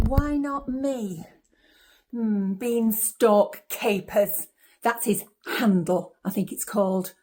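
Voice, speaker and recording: A woman's voice: mid-range voice, lightly acted. A woman playing a widowed giantess who hosts an amateur cookery video, flustered, chatty and cheerfully man-eating. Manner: chatty, self-conscious, muttering asides then loud exclaiming. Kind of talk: comic monologue as a cookery video. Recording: roomy, echoey, distant microphone.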